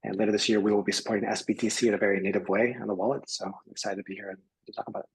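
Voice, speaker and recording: A man from San Francisco introducing himself as a panelist in a brisk, friendly way. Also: fairly low pitch, level tone, breathy edge, low-quality recording. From San Francisco, USA. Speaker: panelist, product lead.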